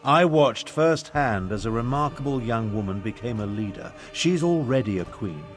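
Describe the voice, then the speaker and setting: A man's voice: mid-range voice, lively intonation, moderate pace, close to an everyday voice. Guy doing a grumpy old wizard from a video game, pompous and dry, bit of music behind. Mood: grumpy, dismissive. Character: crotchety, haughty aging human battle mage. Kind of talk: video game character dialogue.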